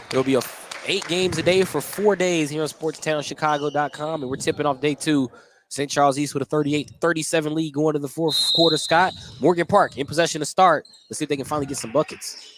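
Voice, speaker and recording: Male announcer, live basketball commentary, quick pace, slightly high voice, noisy gym. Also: steady tone. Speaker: play-by-play sports announcer. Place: indoor school basketball gym.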